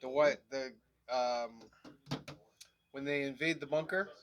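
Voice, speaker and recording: A man co-hosting a casual review chat, with a stuffy, nasal voice from allergies and a relaxed, chatty manner full of "um"s. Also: medium pitch, takes it slowly, slight background noise. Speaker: podcast co-host.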